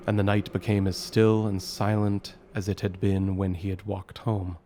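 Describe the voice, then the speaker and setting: Solemn, slow, low-voiced male narrator. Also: darkish tone. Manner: solemn, deliberate storytelling. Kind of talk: scripted dramatic narration.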